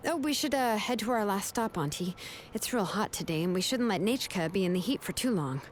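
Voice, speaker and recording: A woman voicing a brisk adult smith in a video game who smooths over awkward moments. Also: mid-range voice, animated, a little hiss or hum, natural voice. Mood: reassuring. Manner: brisk, reassuring, covers awkwardness.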